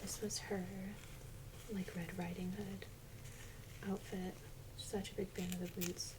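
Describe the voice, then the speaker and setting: A woman speaking softly and breathily in casual ASMR style, often slipping into a whisper, gently musing to herself. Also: mid-range voice, level tone, unhurried. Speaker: ASMR video creator. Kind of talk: soft-spoken ASMR, casual toy unboxing chat. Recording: loud crinkling and clicking handling noise. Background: crinkles, clicks, crumpling.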